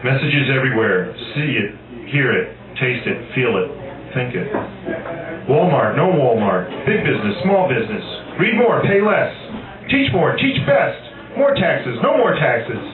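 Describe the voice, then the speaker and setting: A man gives a live poetry reading at a cafe open mic, emphatic and dryly humorous in a mid-pitched voice. He sounds distant from the mic in an echoey room. Loud crowd noise surrounds him. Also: big pitch swings, a little slow, narrow, tinny audio. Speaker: amateur poet reading own work at a poetry night. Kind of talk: live poetry reading with informal asides to the audience. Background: loud audience chatter.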